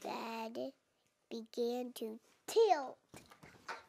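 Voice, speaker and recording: A four-year-old girl reading a picture book aloud, haltingly, sounding out and repeating words. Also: fairly high pitch, gravelly, heavy background noise. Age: young child, four and a half. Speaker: child reader.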